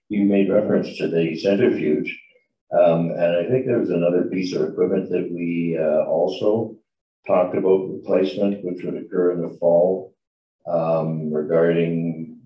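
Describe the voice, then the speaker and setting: Very deep-voiced man, a councillor. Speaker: town councillor. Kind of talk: formal questions at a council meeting. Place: large meeting room, indoors. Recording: distant, dull, echoey meeting room audio.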